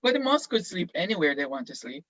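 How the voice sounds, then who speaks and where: A male teacher leading an online class discussion, halting with many ums as he prompts students with questions. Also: high-pitched, sing-song, sharp tone, dry recording. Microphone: video call. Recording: dull, dry video call audio.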